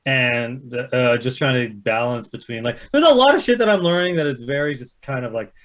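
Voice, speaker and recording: Male casual chatter, animated swooping intonation, self-deprecating and profane. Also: medium pitch, brisk. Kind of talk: casual chat.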